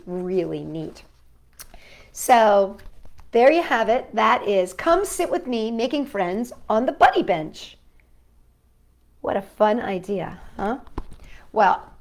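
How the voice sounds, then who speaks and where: A woman hosting a children's storytime, reading a picture book aloud and chatting gently with young viewers, asking them questions. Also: medium pitch.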